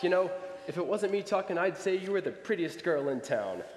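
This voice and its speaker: A man's voice: high-pitched, expressive, brisk, darkish tone. A man playing an eager, dreamy, boyish young small-town man who stammers, in a 1940s radio play. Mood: playful, smitten. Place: large church stage before an audience. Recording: echoey hall from a stage.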